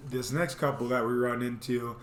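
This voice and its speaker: Animated man telling stories in echoey room. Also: mid-range voice, normal speed. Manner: animated, rambling, mimics people in exaggerated voices. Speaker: storytelling vlog host. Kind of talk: casual comedic storytelling, acting out quotes. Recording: roomy, echoey sound.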